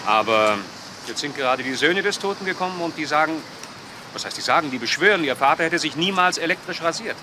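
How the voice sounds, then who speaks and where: A man's voice: a little high, animated, brisk, close to an everyday voice. An actor playing a matter-of-fact policeman in a radio crime drama. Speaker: police officer. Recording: noisy radio drama, street ambience.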